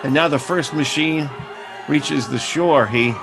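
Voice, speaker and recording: A frantic rooftop radio reporter stammers through his live report. His voice sits a bit high, with music clearly playing behind him. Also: measured pace. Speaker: reporter, then announcer. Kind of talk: radio drama acting.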